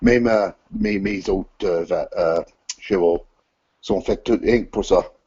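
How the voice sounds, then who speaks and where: A man whose first language is English, speaking French haltingly in an interview over an audio call and searching for words with many restarts. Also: deep voice, mellow, breathy edge, highs cut off. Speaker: interview guest, team manager.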